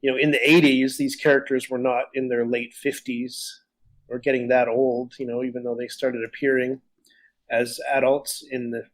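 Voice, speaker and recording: A man's voice: medium pitch, little pitch movement, normal speed, low-quality recording. A man with a conversational, explanatory manner, chatting casually as a podcast co-host. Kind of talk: casual discussion of comics and films.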